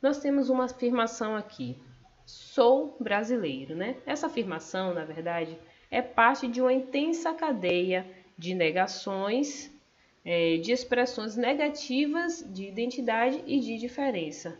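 A woman's voice: a little high, expressive, measured pace. A Brazilian woman student giving a class presentation over slides, explaining step by step with some hesitant fillers. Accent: Brazilian Portuguese. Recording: dull lo-fi recording.

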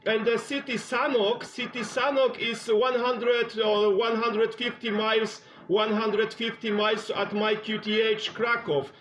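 Very high-pitched Polish man on radio. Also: little pitch movement, unhurried, hardly any pauses, fairly bright tone, slight background noise. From Poland. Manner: repeats phrases and numbers for emphasis. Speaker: amateur radio operator. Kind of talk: radio contact chat. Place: indoors at a radio transceiver.

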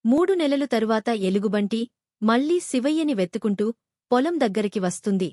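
A woman narrates a children's moral tale from a script. Her voice sits a little high. The recording is very dry, with no room echo. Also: lively intonation, moderate pace, dark tone.

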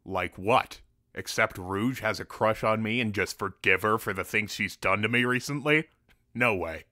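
A man's voice: on the low side, moderate pace, sharp tone, no room sound, clean studio sound. A man playing a stressed, stubborn cartoon echidna with a blunt, defensive manner in a fan audio drama. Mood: exasperated.

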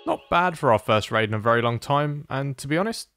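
Excitable, sweary male game streamer over music. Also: mid-range voice, fairly quick. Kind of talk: casual gaming commentary with a teammate.

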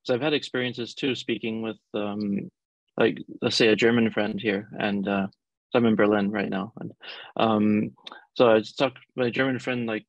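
Man, 40s, video call guest, thoughtful, halting, dead dry audio. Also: lowish voice, fairly even intonation, vocal fry, no echo, poor audio. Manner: thoughtful, with many ums and restarts. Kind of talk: casual interview over video call. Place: home room with bookshelves.

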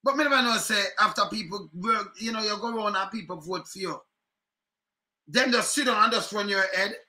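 Jamaican guy ranting into his webcam at home, high voice, real bright and sharp, keeps repeating himself. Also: fairly quick. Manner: animated, chuckling, repeats phrases, gestures a lot. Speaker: online commentary host. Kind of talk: casual political commentary in patois. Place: home room, indoors. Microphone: webcam at desk.

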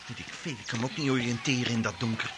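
An actor playing an anxious, earnest professor in a radio drama, speaking hesitantly with a Netherlands Dutch accent. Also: male voice, mid-range voice, rapid-fire, background noise, natural voice. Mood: uncertain. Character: anxious, conscientious male professor and inventor.

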